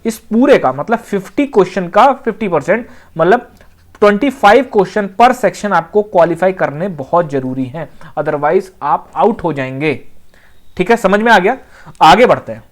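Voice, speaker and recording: An exam coaching teacher, explaining things informally and conversationally, repeating points and often checking that students follow. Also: mid-range voice. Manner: conversational, with frequent check-in phrases and repetition.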